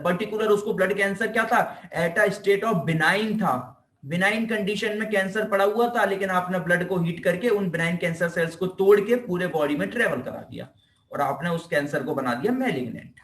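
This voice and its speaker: A man's voice: high voice, fairly bright tone. A man with an Indian accent giving an informal, emphatic physiotherapy lecture, repeating key phrases, asking rhetorical questions and mixing in English technical terms. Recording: echoey room, distant microphone.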